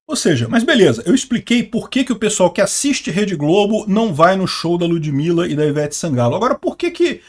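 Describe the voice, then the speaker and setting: A man in his mid-fifties with a voice pitched high for a man. He talks like he's chatting with a friend, rambling from point to point with lots of asides and quick check-ins with the listener. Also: very animated. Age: 50s. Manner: conversational, rambling, with frequent asides and tag questions. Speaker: political commentary channel host. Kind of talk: opinionated solo commentary to camera.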